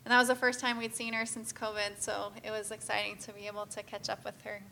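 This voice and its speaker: A woman's voice: mid-range voice, level tone, quick pace, gravelly, pushed and bright, slight background noise. A woman speaking casually and earnestly to a church group about mission work, with frequent "um"s. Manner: casual, filler-heavy, earnest. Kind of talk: church presentation talk.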